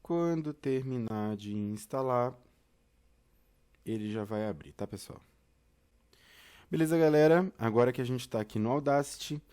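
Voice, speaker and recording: Male tutorial presenter, Brazilian accent, soft-edged mellow timbre, casual and conversational step-by-step delivery. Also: medium pitch. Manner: conversational, addresses listeners directly with frequent check-ins.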